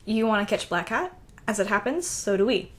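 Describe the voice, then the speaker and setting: An actress as a college-age woman vigilante in an audio drama. She talks casually and confidently, with a teasing, caring streak and a medium pitch. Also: animated, a little fast, close to an everyday voice. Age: young adult. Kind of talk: naturalistic audio drama dialogue.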